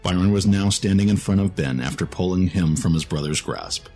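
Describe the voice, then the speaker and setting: A man's voice: deep voice, fairly quick, a little mellow, faint music behind. A man narrating a scripted fantasy story in a dramatic storytelling style.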